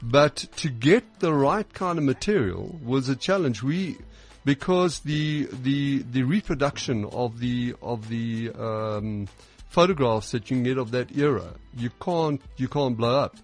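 A man's voice: mid-range voice, animated. Casting: man, guest organiser, stop-start delivery, faint music under. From South Africa. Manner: halting, many restarts. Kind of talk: radio interview.

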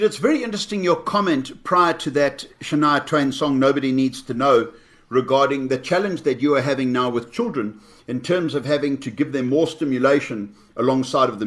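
A man with a fairly high, strong voice. He speaks in a measured, deliberate way as a radio guest. His answers are articulate and carefully built. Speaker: storyteller guest.

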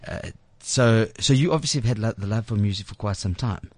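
Chatty male radio host, halting and rambling. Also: lowish voice, no echo. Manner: rambling, halting, repeats words and restarts sentences; chatty, teasing banter with guests. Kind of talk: casual live studio interview. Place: radio studio. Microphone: studio microphone.

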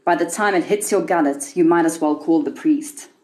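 Woman reading literary fiction, lowish voice. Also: moderate pace, darkish tone. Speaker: author reading own short story. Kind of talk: scripted literary narration.

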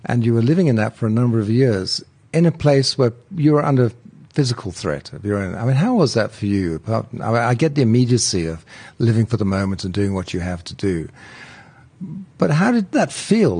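A man's voice: mid-range voice, animated, fairly quick, darkish tone, slightly rough. A man interviewing a guest in a conversational way.

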